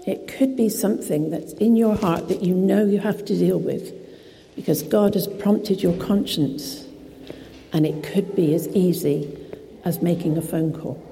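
Croaky woman preaching in a hall. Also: lowish voice, unhurried, dark tone. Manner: chatty, self-deprecating asides. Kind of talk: informal sermon. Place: church hall. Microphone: PA microphone. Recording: reverberant hall with faint noise.

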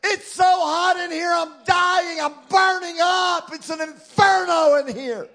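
A man voicing his teenage son, a whiny boy who shouts dramatic exaggerations. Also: very high voice, slow pace, pitched above the performer's own voice, exaggerated voice. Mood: melodramatic.

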